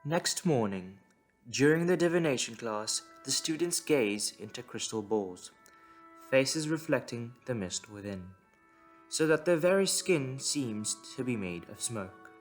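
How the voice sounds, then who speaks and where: A man's voice: mid-range voice, lively intonation, takes it slowly, soft background music. A man reading scripted story narration as the narrator of an audio drama.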